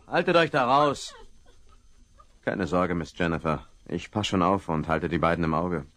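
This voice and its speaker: A man's voice: sits low, animated, moderate pace, a bit bright. An actor playing a bluff, easygoing male sheriff in a 1970s radio play. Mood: gruff. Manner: bluff, scolding, reassuring.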